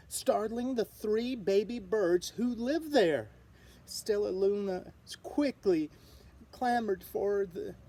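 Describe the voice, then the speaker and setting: Slow, expressive woman reading a storybook. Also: medium pitch. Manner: expressive, dramatic delivery with sound words. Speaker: storybook reader. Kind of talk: children's story read-aloud narration.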